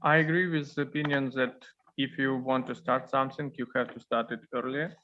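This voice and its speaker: A man's voice: medium pitch, fairly even intonation, fairly quick, a little hiss or hum. A man, a doctor on a panel, speaking with non-native phrasing. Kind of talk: online panel discussion. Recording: dull call audio.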